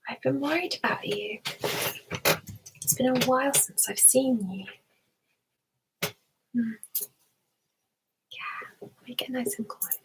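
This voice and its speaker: A woman hosting an ASMR stream, speaking in a soft whisper with a gentle, soothing, unhurried manner. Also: fairly high pitch, vocal fry, poor audio. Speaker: ASMR live stream host. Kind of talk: ASMR personal attention roleplay.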